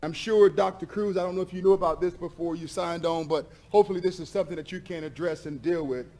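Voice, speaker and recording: A man with a high-pitched voice delivers an impassioned public address. He is emphatic and deliberate, pressing his points like a confrontational pastor addressing officials at a meeting. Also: a little mellow. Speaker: pastor speaking at school board meeting.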